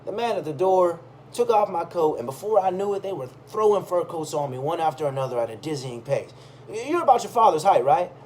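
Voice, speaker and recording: A man reading a first-person story aloud in a conversational, expressive way. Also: on the high side, fairly quick. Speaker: reader of a personal chronicle. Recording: clearly audible background noise.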